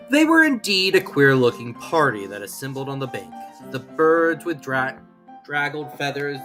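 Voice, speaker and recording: A woman's voice: a bass voice, fairly bright tone. A woman narrating a children's classic as scripted storytelling, with theatrical, emphatic phrasing.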